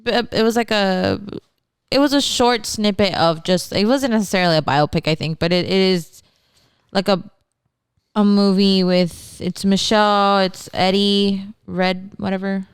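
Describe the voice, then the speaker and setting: A woman's voice: mid-range voice, steady tone, unhurried, clear, resonant tone. A young woman with a Texan accent, chatting as a podcast co-host, hesitating with "um" and restarts. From Texas. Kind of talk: casual chat.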